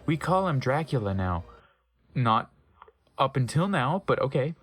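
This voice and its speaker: A man's voice: mid-range voice, very animated, a little slow, no room sound. A man narrating fan fiction as a dramatic comedic reading, breaking off for wry offhand asides and stumbling over odd wording. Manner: wry, stumbles over misspelled words and comments on them.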